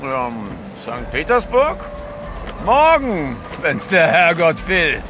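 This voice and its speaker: Slow, high-pitched superstitious sailor. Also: male voice, huge pitch swings, background noise, heavily acted. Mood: matter-of-fact. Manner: ominous, drawn-out yarn-spinning. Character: gruff superstitious sailor spinning ominous sea yarns. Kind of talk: audio drama acting. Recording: loud outdoor ship ambience.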